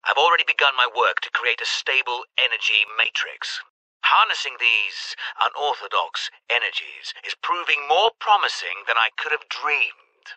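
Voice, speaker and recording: A man's voice: medium pitch, animated, moderate pace, pushed and bright, no room sound, poor audio. A man playing a zealous, self-satisfied researcher in a sci-fi game, dictating a formal log with precision. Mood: proud, eager.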